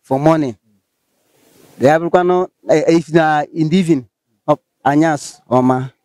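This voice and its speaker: A Nigerian man with a pidgin accent answers questions in a slightly high voice. He hesitates often and restarts his sentences. The recording is dry, with little room sound. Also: measured pace, darkish tone, no echo. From Rivers State, Nigeria. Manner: hesitant, many fillers and restarts. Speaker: interviewee. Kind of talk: casual street interview.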